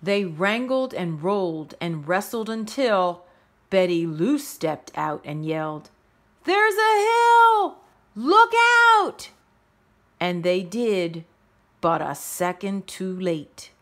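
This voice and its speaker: A woman reading a rhyming children's story aloud in a measured, expressive storytelling manner. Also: on the low side. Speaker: storybook reader. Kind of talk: children's read-aloud narration in rhyme.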